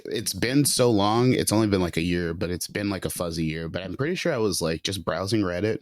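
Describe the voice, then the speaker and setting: Casual guest, man in his late twenties, very low voice, dry close mic, rambling and chatty. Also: fairly quick, no room sound. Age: late 20s. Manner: loose and chatty, many fillers. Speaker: writer and producer. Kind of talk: casual interview chat.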